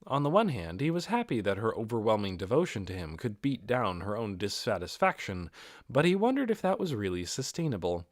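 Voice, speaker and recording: A man's voice: lowish voice, very animated, a little fast, dry recording, studio quality. A man reading a fantasy novel aloud as scripted audiobook narration. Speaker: audiobook reader.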